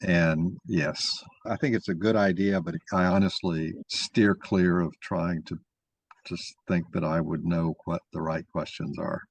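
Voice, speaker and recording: A man with a very deep voice leads an informal discussion. He rambles and halts as he thinks aloud. The sound is very dry, with no room around it. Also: steady tone, a little slow, slightly rough, no room sound. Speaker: moderator of an online mock trial.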